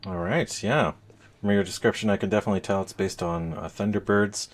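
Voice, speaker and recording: A man's voice: fairly low pitch, fairly quick. A middle-aged man hosting a podcast in a casual, conversational style. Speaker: podcast host.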